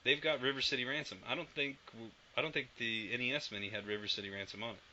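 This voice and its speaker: Man, podcast co-host, rambling casual talk, bright sharp tone, lo-fi muffled audio. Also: mid-range voice, steady tone, fast. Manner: rambling, swears casually, laughs often. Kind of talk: casual chat. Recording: dull lo-fi podcast audio with faint noise.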